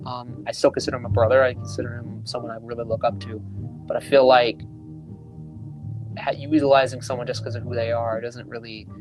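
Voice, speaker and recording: A male singer being interviewed in a recording studio, talking in a relaxed, rambling way with lots of fillers. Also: mid-range voice, fairly quick, low-quality recording. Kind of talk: casual interview chat. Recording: studio talk with music underneath.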